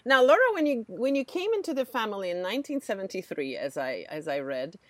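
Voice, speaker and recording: A woman interviewing guests, asking animated, probing questions. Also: mid-range voice, fast talker, no room sound.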